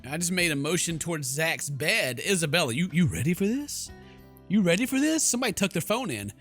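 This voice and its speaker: A man's voice: a little high, very animated, a little fast, fairly bright tone, faint music behind. A man giving casual, sarcastic, joking commentary as he plays a game. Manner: playful, sarcastic, exclamatory. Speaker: gaming YouTuber, let's player.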